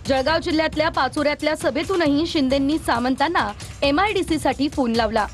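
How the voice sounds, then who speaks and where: A woman reads scripted news narration in a very high-pitched voice. A faint music bed runs behind her voice-over. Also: fairly quick.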